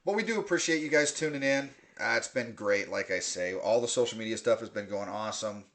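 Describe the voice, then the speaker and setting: A man's voice: lowish voice, expressive, a little fast, a bit bright. Laughing, rambling podcast host man. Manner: rambling, laughs often, many fillers. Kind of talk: casual podcast chat.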